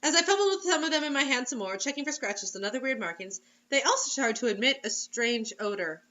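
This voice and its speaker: A woman's voice: sits high, lively intonation, fast talker, bright, forceful tone, dull audio, slight background noise. A woman reading a story aloud as host in a playful, theatrical, mocking way, breaking into casual comic asides. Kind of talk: comedic dramatic reading with casual commentary.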